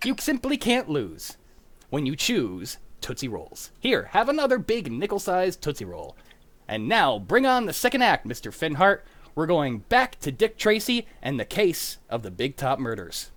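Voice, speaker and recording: A man playing a wholesome, chipper pitchman in a 1940s-style radio commercial. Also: huge pitch swings, moderate pace, a bit bright. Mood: chipper salesmanship.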